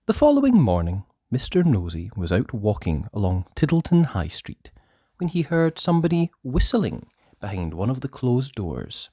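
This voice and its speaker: A storyteller reading a children's book aloud in a theatrical, deliberate way, with emphatic words and hushed passages. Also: mid-range voice, huge pitch swings, husky, no room sound, clean studio sound. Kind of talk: expressive children's story narration.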